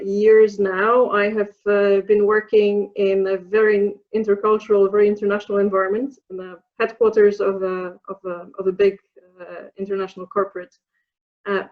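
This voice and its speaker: A woman's voice: mid-range voice, flat delivery, moderate pace, narrow, tinny audio. A woman from Poland with a Polish accent, in her thirties, answering interview questions thoughtfully, with many fillers and restarts. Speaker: interview guest, program alumna.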